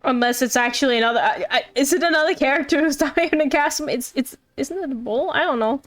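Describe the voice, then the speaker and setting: A woman's voice: high voice, fast. A woman doing casual, playful live commentary as she streams a game, reacting as she goes. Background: game music.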